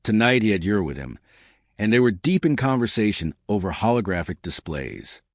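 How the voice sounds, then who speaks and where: A man's voice: moderate pace, dry recording, studio quality. A man narrating a scripted story, lifting his voice for the characters' lines. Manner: lively storytelling, shifting pitch for quoted characters.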